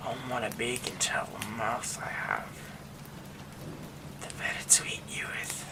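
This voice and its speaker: A man voicing a menacing yandere werewolf who is an old childhood friend, in an ASMR roleplay, talking in slow, soft, threatening whispers. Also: mid-range voice, very animated, gravelly, poor audio.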